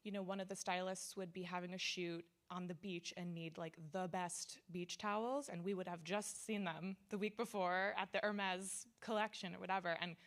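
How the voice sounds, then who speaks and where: Female panelist, interior designer, conversational and loose, with a noticeably gravelly fry. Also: fairly low pitch, fairly even intonation, moderate pace, hardly any pauses. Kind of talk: conversational career story at a panel talk.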